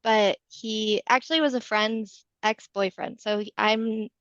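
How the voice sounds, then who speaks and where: A woman's voice: mid-range voice, steady tone, measured pace, fairly bright tone, no echo. A woman guest on a video call, chatty and friendly with a few fillers. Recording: dull, dry video call audio.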